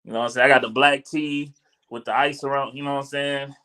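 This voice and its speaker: Bright-voiced joking podcast host. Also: male voice, mid-range voice, fairly even intonation, quick pace, clear, resonant tone. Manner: joking, teasing, laughs a lot. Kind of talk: casual chat.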